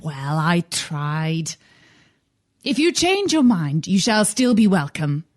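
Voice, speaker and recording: A woman's voice: fairly low pitch, sing-song, slow pace, darkish tone. A woman playing meek, polite, good-natured men in a children's audiobook drama. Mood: cheerful, warm. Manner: polite, hesitant, earnest. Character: meek, polite, cheerful male clerks and well-wishers.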